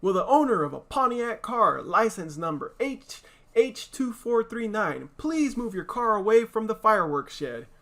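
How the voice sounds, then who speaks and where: A formal fairground announcer from a storybook, acted by a man reading aloud. The voice is pushed high and bright, calling out loudly in drawn-out public-address fashion. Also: sing-song, exaggerated voice. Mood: insistent. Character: booming fairground loudspeaker announcer, male, formal.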